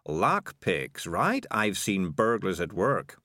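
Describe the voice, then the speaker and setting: A man's voice: low-pitched, huge pitch swings, takes it slowly, pushed and bright, no room sound, exaggerated voice. Man voicing a dry, skeptical talking cat. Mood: wry. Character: sly, worldly male talking cat.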